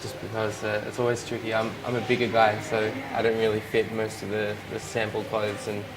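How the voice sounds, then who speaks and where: A young Australian man, a fashion model, answering interview questions in a relaxed, hesitant way with many restarts. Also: on the low side, brisk. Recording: loud ambient noise behind.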